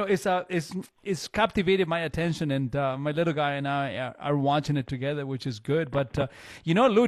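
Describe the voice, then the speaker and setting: A man from Chile hosts a radio show in a loose, conversational way. His voice sits a little high, and the sound is close and dry. He peppers his talk with casual filler words as he banters. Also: no room sound.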